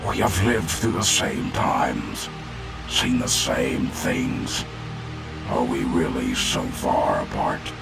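A man playing a solemn robot leader with an extremely deep, rough, gravelly voice. He speaks slowly and formally, his pitch moving a lot with earnest feeling. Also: very animated, loud music behind the voice, full character voice. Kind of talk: fan comic dub.

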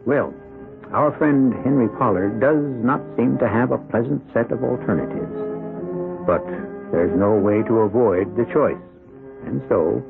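Ominous gravelly guy narrating old radio drama, dull sound, faint music. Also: medium pitch. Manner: ominous, measured, theatrical. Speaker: host and narrator.

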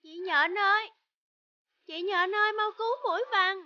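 A woman's voice: very high voice, moderate pace, sharp tone, no echo, exaggerated voice. A woman voicing a small, anxious cartoon grub that calls out and pleads. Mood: anxious, pleading. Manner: pleading, calling out. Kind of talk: cartoon voice acting. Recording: dull lo-fi sound.

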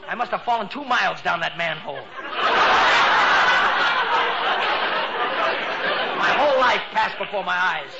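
An American man playing an excitable teenage boy in a 1940s radio comedy, telling his story with breathless mock drama. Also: high-pitched, vocal fry. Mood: melodramatic. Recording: noisy, dull 1940s radio recording.

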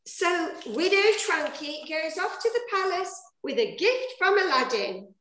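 A woman's voice: extremely high pitch, expressive, measured pace, sharp tone, a little hiss or hum. A woman reading scripted, rhyming pantomime narration as the fairy storyteller, recorded on a video call. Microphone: computer mic on video call. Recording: echoey room on video call.

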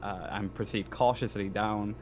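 A man's voice: on the low side, fairly even intonation, moderate pace, darkish tone, a little hiss or hum. Hesitant, sweary male tabletop gamer. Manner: hesitant, repeating himself, sweary. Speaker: player in a tabletop roleplaying game. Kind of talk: casual game chat.

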